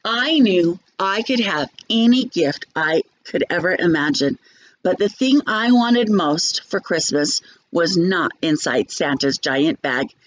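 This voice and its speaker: A woman reading a children's storybook aloud like a teacher, in an expressive, measured storytelling manner. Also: fairly low pitch, dull audio, a little hiss or hum.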